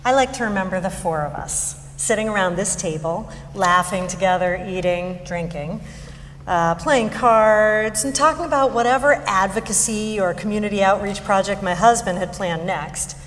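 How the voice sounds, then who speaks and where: Sympathetic confidante played by a woman, medium-pitched, reflective, natural stage delivery in a reverberant hall with faint noise. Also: normal speed, natural voice. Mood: wistful. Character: sympathetic, nostalgic wife and close friend over wine. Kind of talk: musical theatre staged reading, conversational.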